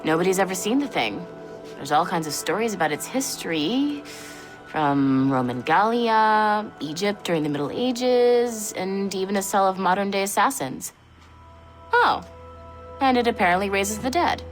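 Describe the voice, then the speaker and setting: A woman's voice: mid-range voice, expressive, normal speed, natural voice. An actress playing a skeptical intelligence analyst in a game audio log, casual, blunt and sardonic with her boss. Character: wry, skeptical female intelligence analyst, loyal subordinate. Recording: music under the voice.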